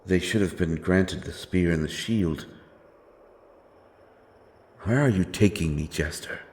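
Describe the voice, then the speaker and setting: Man acting a deep, slow, grim wandering avenger. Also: darkish tone. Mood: tense, demanding. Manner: brooding, solemn, halting delivery with weighty pauses. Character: grim, doomed, godless wandering avenger of dark fantasy. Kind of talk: dramatic dark fantasy audio roleplay acting.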